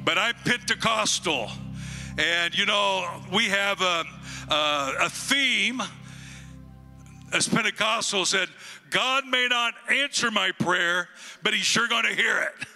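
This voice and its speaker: An older man in his sixties from the United States, a guest pastor with a booming voice, preaching and praying slowly into a handheld microphone on a church stage. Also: pushed and bright. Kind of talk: sermon and prayer. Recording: long hall reverb, faint music behind.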